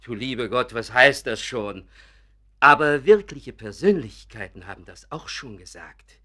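A vain bookkeeper in a radio drama who sees himself as an artist. His medium-pitched, bright voice rises and falls dramatically. He hesitates, then insists with touchy self-importance. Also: animated, measured pace. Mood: boastful, needy. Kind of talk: German radio play acting.

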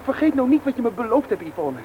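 A man's voice: extremely high pitch, sing-song, fast, narrow, tinny audio. An actor playing an evasive, anxious young man who stammers. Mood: pleading. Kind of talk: Dutch radio play acting. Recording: noisy old radio play recording.

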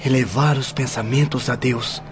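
A man's voice: mid-range voice, fairly even intonation, moderate pace, noisy background, natural voice. An actor playing a repentant, humble young male prisoner in a radio drama. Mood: remorseful, hopeful. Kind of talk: Brazilian radio drama acting.

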